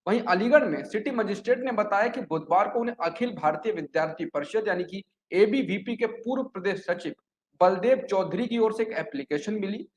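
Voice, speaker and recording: A man presenting a scripted news report in an explanatory way, occasionally stumbling over words. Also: high voice. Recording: echoey room with clear background noise.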